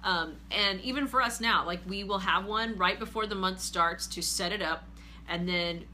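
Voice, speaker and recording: A woman in her forties hosting a casual money-advice talk from her living room couch, earnest and steady. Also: mid-range voice, moderate pace, a little hiss or hum. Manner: earnest, steady, reads viewer comments aloud. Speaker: host, finance group leader.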